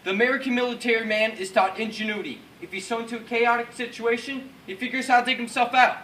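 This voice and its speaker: High-pitched earnest paratrooper officer, acted by a man. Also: steady tone, quick pace, sharp tone. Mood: solemn, resolute. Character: male American WWII paratrooper officer, resolute, recalling battle. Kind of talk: rehearsed dramatic war monologue. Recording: distant echoey room, faint noise.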